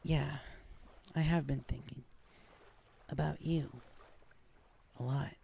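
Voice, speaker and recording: A man's voice: a little high, steady tone, takes it slowly, vocal fry, warm tone, husky, pitched above the performer's own voice, heavily acted. A man voicing a flustered, lovestruck young female pegasus pony who speaks earnestly and hesitantly in a story reading. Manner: hesitant, stammering. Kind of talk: fan fiction story reading.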